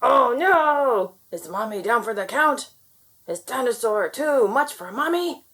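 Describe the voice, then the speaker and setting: A woman narrating a picture book aloud for children in an expressive, performed style. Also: a little high, measured pace. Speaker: storybook reader.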